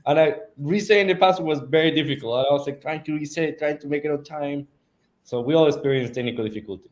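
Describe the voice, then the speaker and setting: A man's voice: a little high, fast. A man from Peru, a guest on a live-stream interview, telling his life story earnestly with fillers. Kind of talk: casual interview talk.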